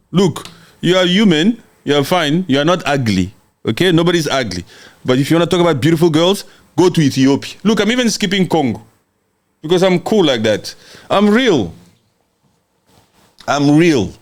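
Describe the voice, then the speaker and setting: Brash joking man on a livestream. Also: medium pitch. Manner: brash, joking, mocking and laughing often. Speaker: livestream host. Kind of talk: casual livestream chat reading viewer comments.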